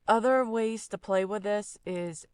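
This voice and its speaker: A woman's voice: medium pitch, unhurried, a little mellow. A woman presenting an audio tutorial, commenting casually and offhandedly as she tries out settings. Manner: offhand, piecemeal commentary while trying out settings. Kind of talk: casual software demonstration.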